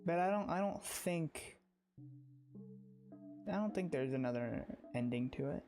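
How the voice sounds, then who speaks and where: A man giving casual livestream game commentary, halting and rambling as he repeats his phrases. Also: a little high, animated, over music. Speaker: game streamer.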